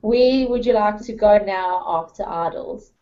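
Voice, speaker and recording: A woman's voice: medium pitch, measured pace. A woman, co-hosting an interview and asking earnest, encouraging questions. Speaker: co-host. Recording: dull lo-fi sound.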